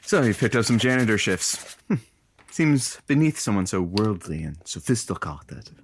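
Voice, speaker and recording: An actor playing a cocky, wisecracking, scruffy radio station slacker in a scripted audio comedy, sardonic and joking. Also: male voice, fairly low pitch, big pitch swings, moderate pace, slight background noise. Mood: sardonic, teasing.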